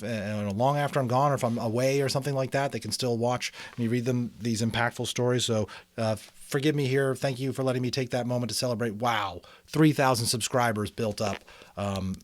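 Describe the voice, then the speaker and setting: A man hosting and narrating an audiobook, with expressive, deliberate phrasing and a casual, amateur storyteller's delivery. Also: medium pitch, no room sound. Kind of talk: dramatic storybook reading with casual asides.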